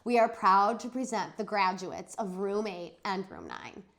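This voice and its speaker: A woman voicing a kindly, composed female kindergarten teacher from a storybook, in a measured way. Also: medium pitch, sing-song, gravelly, fairly bright tone, heavily acted. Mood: proud, formal.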